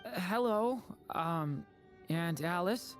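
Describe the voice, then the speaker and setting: A woman's voice: fairly low pitch, lively intonation, takes it slowly, soft background music. A woman playing a shy, earnest and polite young girl in a video game, speaking hesitantly. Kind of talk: video game character acting.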